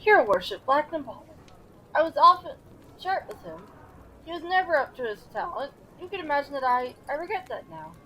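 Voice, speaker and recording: A woman's voice: high-pitched, expressive, fairly quick, a bit bright, slight background noise, poor audio. A woman playing a stern, proper older professor with a tender side in an audio play, formal and emphatic. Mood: regretful.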